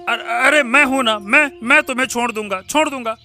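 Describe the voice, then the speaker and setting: A man's voice: very high-pitched, brisk, bright, forceful tone, full character voice. A man playing an overeager, fussing young helper in a cartoon story, stammering and repeating words. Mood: eager. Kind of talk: Hindi cartoon story dialogue.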